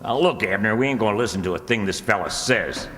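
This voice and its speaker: An actor playing a rustic, easily flustered small-town bill collector with a rural Southern American accent in a radio comedy. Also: male voice, fairly low pitch, expressive, fast talker, fairly bright tone, slight background noise. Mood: insistent. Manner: folksy, insistent. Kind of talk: old-time radio comedy acting. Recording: reverberant hall stage recording.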